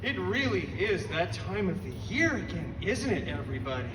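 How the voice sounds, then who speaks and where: An actor playing a wisecracking masked male mercenary who tells a story with sarcastic, animated jokes at a live theme-park show. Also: high voice, moderate pace. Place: outdoor theme-park stage. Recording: roomy outdoor stage sound from far off, music.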